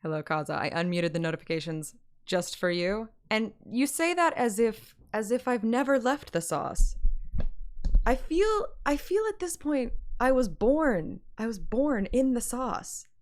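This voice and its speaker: A woman's voice: fairly high pitch, lively intonation, unhurried. A woman streaming a story game, moving between playful, self-mocking chat and dramatic read-aloud narration. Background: game music.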